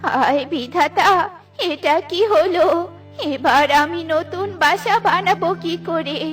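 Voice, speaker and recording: A woman's voice: very high-pitched, fairly bright tone, heavily acted. A woman voicing a weary, helpless elderly female bird in a cartoon, plaintive and wailing. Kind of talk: cartoon voice acting.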